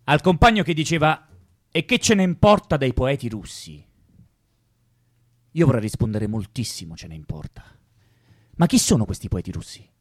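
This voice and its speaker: An actor playing an impassioned young revolutionary man who orates rhetorically and deliberately in a radio drama monologue. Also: mid-range voice, very animated, no echo, studio quality. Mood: solemn, emphatic. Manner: rhetorical, deliberate, swelling and dropping. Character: impassioned young revolutionary man orating about Russian poets.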